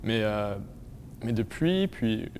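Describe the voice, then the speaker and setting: A young man with a Manitoban French accent, telling stories in a lively way, repeating words and slipping into English. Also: fairly low pitch, slow pace, slight background noise. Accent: Manitoban French with English influence. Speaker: interviewee. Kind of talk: testimonial talk.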